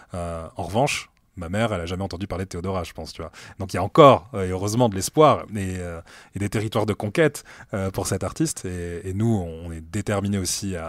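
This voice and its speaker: A man in his late thirties, a music director being interviewed, talks in a relaxed, thoughtful way with many hesitations in an office meeting room. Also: low voice, no echo. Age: late 30s. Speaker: music director at a streaming platform, guest. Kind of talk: casual conversational interview.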